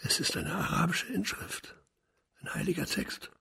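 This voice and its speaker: Deferential gentleman, higher-pitched, very breathy and husky, polite, radio drama. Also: wildly swooping pitch, normal speed, full character voice. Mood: polite, soft. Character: polite, obliging well-connected gentleman guest.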